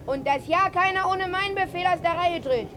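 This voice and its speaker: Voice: very high voice, fairly quick, noisy background. A performer playing a bossy, swaggering boy who leads a street gang in a radio play, giving clipped orders. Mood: commanding. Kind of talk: German radio play dialogue.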